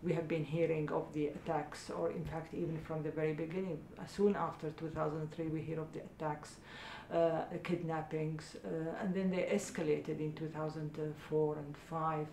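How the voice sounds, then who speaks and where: A woman's voice: little pitch movement, moderate pace, hardly any pauses, warm tone. A middle-aged woman from Iraq answering interview questions about her charity, speaking earnestly with many hesitations. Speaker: charity founder. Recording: echoey room with faint rumble.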